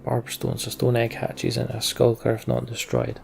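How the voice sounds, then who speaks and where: A man's voice: mid-range voice, monotone, normal speed, gravelly, darkish tone, faint music behind. A man giving casual, informal gameplay commentary, half talking to himself with sweary, sardonic asides. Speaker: let's play host.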